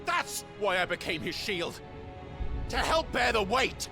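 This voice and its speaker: An actor playing a betrayed, brooding young warrior in a fantasy game, speaking with earnest, accusing intensity. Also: male voice, high-pitched, very animated, a little slow, vocal fry, exaggerated voice. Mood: impassioned anger. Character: betrayed, brooding warrior son, fiercely protective brother. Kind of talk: dramatic fantasy game acting. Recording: dramatic music under the voice.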